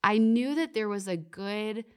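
A woman's voice: mid-range voice, a little slow, clean tone. A young woman, a podcast guest talking earnestly and reflectively about faith, often saying "like" and "you know". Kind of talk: faith-based podcast conversation.

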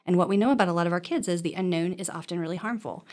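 A woman talks through her points very quickly in a slightly low voice. She sounds like a counselor in an interview, explaining conversationally with acted-out examples and frequent little check-ins. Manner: animated self-talk, checks in with "right?". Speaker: counselor or therapist, interview guest.

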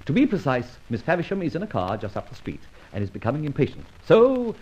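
An actor playing a debonair, flippant gentleman adventurer in an old radio drama, self-assured, with urbane, wordy phrasing. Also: fairly high pitch, huge pitch swings, fast, breathy edge, heavily acted. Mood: breezy, amused. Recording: muffled old radio transcription recording.

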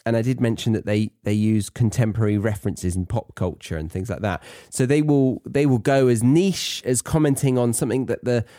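British male podcast teacher, soft dark tone, dry sound, conversational and thoughtful delivery. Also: mid-range voice, a little fast, few pauses, dry recording. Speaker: podcast host and English teacher. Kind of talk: conversational educational podcast talk.

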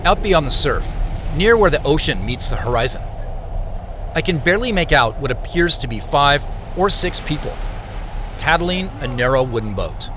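An actor narrating a vivid dream in the first person, calm and descriptive. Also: male voice, a little high, normal speed, noisy background, natural voice. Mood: dreamy. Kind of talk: scripted narration. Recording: clear music behind the voice.

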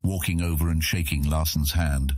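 A man narrating a scripted fantasy audiobook in a measured storytelling manner. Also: extremely low pitch, fairly even intonation, darkish tone, no room sound, clean studio sound.

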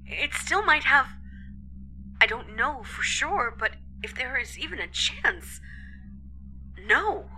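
A woman playing an anxious, cautious character in an audio drama, hushed and hesitant, with ambient noise behind her. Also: sits high, big pitch swings, slow, long pauses, soft background music, exaggerated voice. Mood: worried, guarded. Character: anxious, cautious woman confiding a secret worry. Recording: noisy background ambience.

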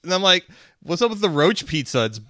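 A man's voice: high-pitched, big pitch swings, fairly quick, a bit bright, no room sound, studio quality. A man co-hosting a casual comedy podcast, chatting in a sardonic, irreverent and profane way. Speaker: podcast co-host.

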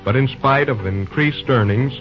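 A man's voice: mid-range voice, moderate pace. Male serial announcer, dramatic rhetorical delivery over faint music, muffled vintage radio sound. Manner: declamatory, rhetorical. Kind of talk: scripted dramatic old-time radio narration. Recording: dull old radio recording.

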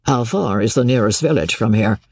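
A man voicing a suave, calculating male official in an audiobook, quietly commanding and impatient, with menace underneath. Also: medium pitch, lively intonation, a little fast, husky. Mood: curt. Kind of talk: audiobook character voice.

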